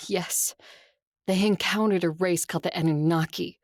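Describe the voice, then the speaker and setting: An actress playing an ancient, earnest and resolute vampire noblewoman in an audio drama, gravelly and husky, speaking in a measured, grave, confiding way. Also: on the low side, steady tone, no room sound, studio quality, lightly acted. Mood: grave, confessional. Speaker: voice actor and story narrator.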